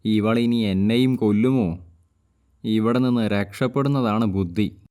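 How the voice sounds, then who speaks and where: Man playing a cartoon tiger, big male beast, deep, very slow drawl. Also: darkish tone, clean tone, close to an everyday voice. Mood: frightened. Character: big male tiger, menacing then cowed. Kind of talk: cartoon voice acting.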